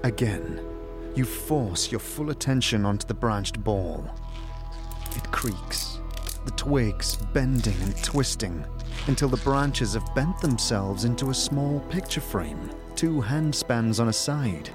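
A man's voice: mid-range voice, animated, takes it slowly, music in the background. A man narrating a fantasy audio drama in the second person, telling the story scene by scene.

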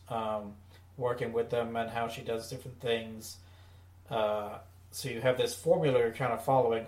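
A man co-hosting a podcast in casual, rambling, enthusiastic conversation. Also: medium pitch. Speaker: podcast co-host. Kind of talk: casual discussion of audio dramas. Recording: echoey room, distant from mic.

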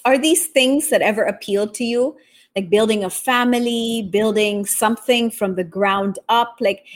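A woman from Japan hosting a podcast in a conversational interviewing style. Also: a little high. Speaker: podcast host.

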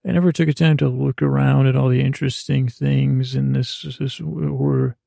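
A man hosting a sleep podcast in a creaky, lulling voice, meandering through a bedtime monologue with long pauses and halting trail-offs. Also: mid-range voice, fairly even intonation, mellow, no room sound, studio quality. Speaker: podcast host. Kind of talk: rambling bedtime monologue meant to lull listeners to sleep.

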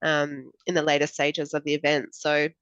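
This Australian woman in her forties co-hosts a casual podcast, and her voice comes out stuffy and nasal from a cold. She sits at the lower end of the usual range for a woman and fills her talk with ums. The sound is dry and close, but dull and lo-fi. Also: monotone, normal speed, a bit bright, no echo. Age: 40s. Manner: chatty, many fillers and laughs. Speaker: podcast co-host. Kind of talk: casual chat.

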